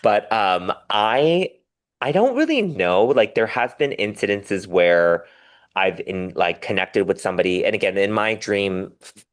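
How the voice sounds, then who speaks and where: Low voiced guy on a podcast, chatting casually, rambly and animated, pokes fun at himself. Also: unhurried. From diaspora Korean, raised in the West. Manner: animated, self-deprecating humor, rambling asides. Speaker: podcast guest. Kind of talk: casual, candid conversation.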